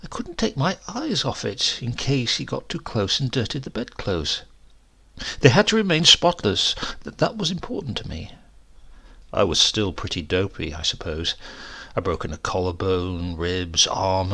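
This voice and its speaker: Creaky, haunted male actor as crash survivor. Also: lowish voice, sing-song, sharp tone, heavily acted. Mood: haunted, confiding. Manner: halting, conversational, brooding with sudden outbursts. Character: shaken, rambling male crash survivor narrating horror. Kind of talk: dramatic first-person horror story reading.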